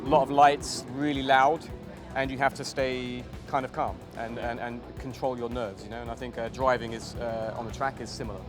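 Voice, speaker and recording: A man's voice: medium pitch, steady tone, moderate pace, loud music behind the voice. Man answering loosely, lots of fillers. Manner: loose, hesitant, full of fillers. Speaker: interviewee. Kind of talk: casual interview.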